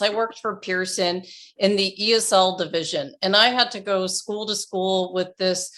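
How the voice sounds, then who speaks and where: A woman's voice: medium pitch, a bit bright. A woman from Canada hosting a podcast, enthusiastic and asking long, detailed questions. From Toronto, Canada. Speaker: podcast host. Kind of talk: podcast interview.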